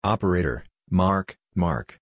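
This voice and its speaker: Deep-voiced man reading short phrases. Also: unhurried, heavy background noise. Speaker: narrator of Morse code practice phrases.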